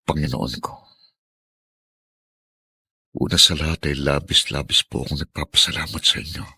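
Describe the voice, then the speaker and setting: A very deep-voiced Filipino ex-convict, weary and humble. His rough, forceful tone carries hesitant, prayerful lines in a radio drama style, recorded close and dry. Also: fairly even intonation, moderate pace, no echo, full character voice. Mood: anxious, prayerful.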